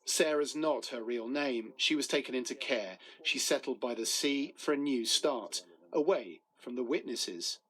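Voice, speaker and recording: A man's voice: mid-range voice, measured pace, few pauses, a bit bright, low-quality recording. A man narrating a scripted television news report as a correspondent.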